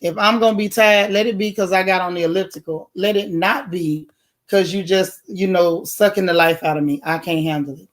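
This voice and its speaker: A woman with a heavy, loud voice that has bass in it, hoarse at the moment, talking directly and emphatically while she hosts a casual livestream and answers chat comments. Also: steady tone, a little fast.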